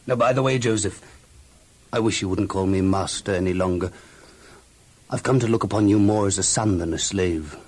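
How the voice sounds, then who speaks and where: An actor playing a wealthy, effusive master of an ancient household in a children's audio Bible drama, speaking expansively with emphatic, halting repetitions. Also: male voice, low-pitched, a little mellow. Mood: effusive, grateful.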